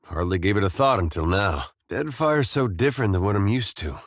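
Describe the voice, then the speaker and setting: Wry, weary farmhand soldier for a fantasy game: low drawl, plain-spoken, dry close recording. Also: male voice, expressive, brisk, dry recording. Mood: curious, wry. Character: easygoing young-middle-aged farmer-soldier, wry, folksy, weary. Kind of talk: video game companion dialogue.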